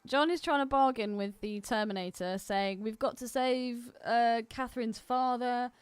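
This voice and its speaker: A woman's voice: fairly high pitch, normal speed, clear, resonant tone, dry recording. A woman co-hosting a podcast in casual film discussion, speaking in an opinionated, argumentative manner. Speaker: podcast co-host.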